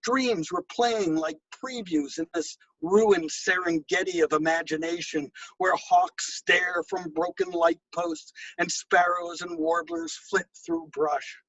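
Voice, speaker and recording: High-pitched man reading poetry, slow and gravelly. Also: very animated, fairly bright tone, no room sound, rough recording. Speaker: poet reading own work. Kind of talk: poetry reading with spoken introductions.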